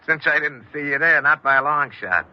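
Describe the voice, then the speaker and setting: An actor playing a chummy, slyly flattering stranger in a 1950s radio drama, talking in ingratiating street slang. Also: male voice, on the high side, a little fast, lightly acted. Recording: muffled old radio broadcast.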